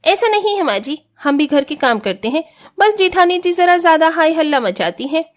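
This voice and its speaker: A woman's voice: extremely high pitch, big pitch swings, normal speed. A woman voicing a spoiled, haughty young rich daughter-in-law in a cartoon family drama, whiny and defiant. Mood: indignant. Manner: whiny, complaining.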